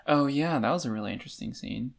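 A woman's voice: extremely low pitch, fast talker, vocal fry. A woman co-hosting a podcast, discussing a book in a casual, conversational way. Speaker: podcast co-host.

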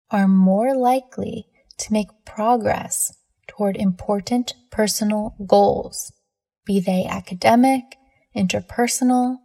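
A woman leading a scripted guided meditation in a measured, soothing manner with deliberate pauses between phrases. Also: medium pitch, vocal fry, warm tone, breathy edge.

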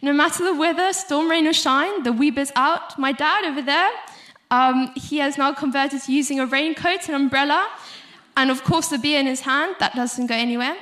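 Higher-pitched young South African woman preaching. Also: moderate pace, fairly bright tone. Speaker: speaker giving a short church talk. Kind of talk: sermon, prepared talk with informal asides.

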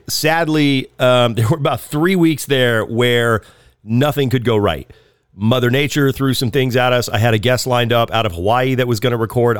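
A man hosting a podcast, his voice a little raspy from a head cold. He talks in a loose, chatty way, filling pauses with ums, at an everyday middle pitch. The recording is dry and close, with no room sound. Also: no echo. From Southern California. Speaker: podcast host. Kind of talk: casual podcast intro and ad reads.

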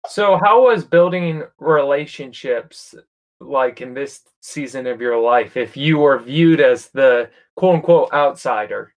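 Man interviewing in a relaxed tone. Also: mid-range voice, unhurried. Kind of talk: casual interview.

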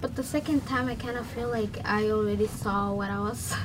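A woman's voice: mid-range voice, steady tone, a little fast. A teenage young woman who grew up in Kenya, casually reflecting on her own experience as a student guest. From Kenya, born in Somalia. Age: teens. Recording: distant mic, clear background noise.

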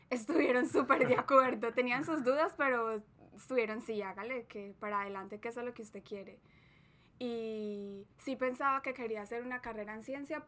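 Give me a friendly woman with a slightly high voice, chatting about her path into science. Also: fairly bright tone. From Colombia. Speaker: guest scientist. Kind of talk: relaxed interview chat.

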